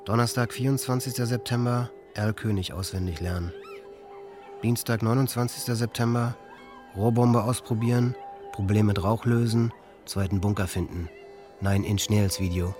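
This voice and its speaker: An actor playing a hateful, volatile teenage high-school boy with a contemptuous manner, in a radio documentary drama. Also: steady tone, moderate pace, close to an everyday voice. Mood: flat, subdued. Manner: ranting, rhetorical, contemptuous. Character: hateful, volatile teenage male high-school shooter reading his writings. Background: music.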